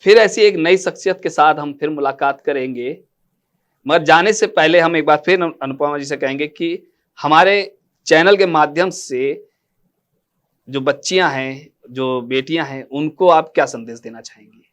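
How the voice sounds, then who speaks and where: A man's voice: fairly high pitch, moderate pace, a bit bright. A man hosting a formal news interview.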